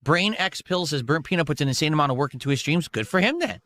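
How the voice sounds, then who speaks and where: A man's voice: fairly high pitch, lively intonation, quick pace, bright, forceful tone, no room sound, studio quality. A man in his forties streaming, answering chat in a blunt, defensive way and swearing casually. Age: 40s.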